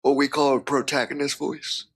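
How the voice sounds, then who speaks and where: A man's voice: mid-range voice, little pitch movement, moderate pace, husky. A man who works as a freelance voice actor, chatting as a guest in a hesitant way, full of restarts and "you know". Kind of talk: casual chat.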